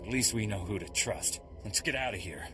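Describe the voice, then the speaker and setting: An actor playing a hard, embittered, accusing resistance leader in a video game, with a forceful voice. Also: male voice, fairly low pitch, animated, brisk, faint music behind. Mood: angry, bitter.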